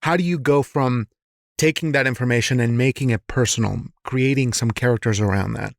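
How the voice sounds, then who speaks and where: A man with a medium-pitched voice interviews a guest as podcast host. He phrases things reflectively, searching for his wording, in a casual style. The sound is dry, with no room echo. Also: brisk, creaky. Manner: reflective, searching phrasing, frequent short affirmations.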